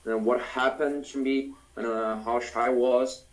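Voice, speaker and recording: Young adult male host from Brazil, Brazilian Portuguese accent, non-native English, relaxed halting chat. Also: mid-range voice, low-quality recording. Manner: halting, restarts phrases, laughs easily. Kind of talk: casual chat in English with a friend.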